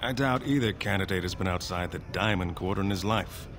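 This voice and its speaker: A wry, self-mocking dwarf lord. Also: male voice, sits low, a little fast, fairly bright tone, slight background noise. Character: sardonic rebellious male dwarf noble. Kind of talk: video game dialogue.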